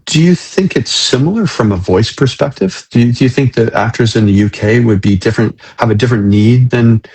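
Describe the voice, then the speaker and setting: A man's voice: mid-range voice, fairly quick, a little mellow, slightly rough. A man hosting a conversational interview, speaking reflectively and hesitantly, repeating words as he searches for phrasing. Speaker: interview host.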